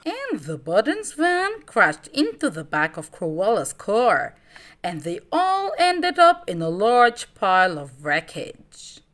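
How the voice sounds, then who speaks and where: A woman reading a children's story aloud in an expressive, dramatic way. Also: mid-range voice. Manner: expressive, dramatic, deliberate phrasing.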